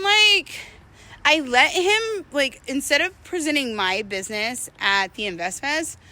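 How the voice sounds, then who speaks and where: A woman's voice: mid-range voice, bright, forceful tone. A young adult woman talking frankly and expressively in a conversational personal vlog, sharing candid stories with some swearing. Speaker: vlogger. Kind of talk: candid personal storytelling to camera.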